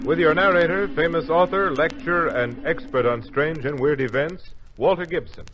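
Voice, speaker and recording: A man's voice: on the high side, moderate pace. A man making a dramatic programme introduction in 1950s radio announcing style. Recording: dull, slightly noisy old radio recording.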